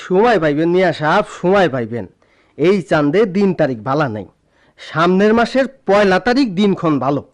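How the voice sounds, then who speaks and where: A man's voice: high voice, lively intonation, a little fast. An actor playing a shrewd village uncle of a groom in a radio play, pressing his points in a rural Bangladeshi accent. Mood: smooth, insistent. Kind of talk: Bengali radio play acting.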